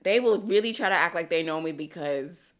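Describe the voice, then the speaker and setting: A woman hosting a podcast in casual chat, animated and slangy, laughing often. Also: on the low side, a little fast, a crowd in the background. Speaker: podcast host.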